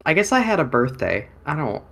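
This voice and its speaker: A man's voice: fairly high pitch, sing-song, a little fast, a bit bright. A man in his thirties co-hosting a podcast, chatting casually and rambling with many fillers and self-deprecating asides. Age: 30s. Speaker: podcast co-host. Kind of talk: casual chat.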